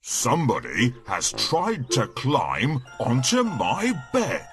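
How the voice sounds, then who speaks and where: A man voicing a big, stern father bear with a husky voice in a storybook. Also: medium pitch, huge pitch swings, takes it slowly, fairly bright tone, faint music behind, exaggerated voice. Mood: grumbling.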